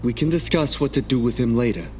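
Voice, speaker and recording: A man's voice: mid-range voice, fast talker, a little mellow, faint music behind, close to an everyday voice. A man playing a curt, commanding rogue leader with dry sarcasm in a sci-fi game fan dub. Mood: stern, guarded. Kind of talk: visual novel fan dub acting.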